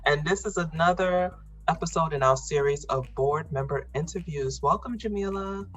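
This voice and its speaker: A woman podcast host with a husky voice, giving scripted introductions and interview questions. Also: low voice, normal speed, a bit bright, faint music behind.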